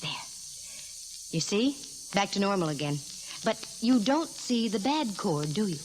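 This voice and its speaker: An actress playing a brisk, dry, wisecracking female private detective in 1940s radio style. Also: medium pitch, big pitch swings. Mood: confident, brisk. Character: wisecracking, cool-headed female private detective narrating her cases. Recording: hissy, noisy 1940s radio recording.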